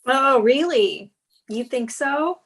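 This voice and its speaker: Woman acting dismissive girlfriend, fairly high husky voice, blunt, cutting, exasperated, naturalistic table read. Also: lively intonation, slow pace, fairly bright tone, exaggerated voice. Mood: cold. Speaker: actor in a screenplay table read.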